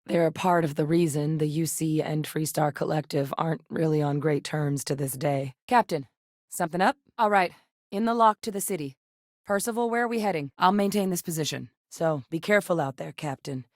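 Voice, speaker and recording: A woman playing a seasoned ex-military scientist in a video game, steady and earnest, with a measured, serious delivery. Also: sits low, no room sound, clean studio sound, lightly acted. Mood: urgent, tense. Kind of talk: video game character voice lines.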